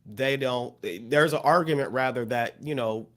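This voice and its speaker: A man's voice: medium pitch, unhurried, a bit bright. A man co-hosting a podcast, talking things through in a thoughtful, loose way with many fillers and restarts. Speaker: podcast co-host. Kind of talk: casual discussion.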